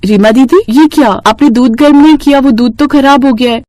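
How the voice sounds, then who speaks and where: A woman's voice: high voice, brisk, mellow, a little hiss or hum, voice pushed up, close to an everyday voice. A woman voicing a humble, earnest housemaid in a cartoon story, speaking politely and deferentially. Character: humble, earnest poor housemaid, a grateful daughter-in-law. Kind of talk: Hindi cartoon story dialogue.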